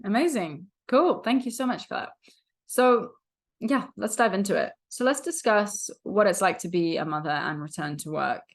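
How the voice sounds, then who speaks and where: A woman's voice: medium pitch, animated, moderate pace. A woman hosting an interview podcast who asks her questions in long, hedging, meandering sentences. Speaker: podcast host.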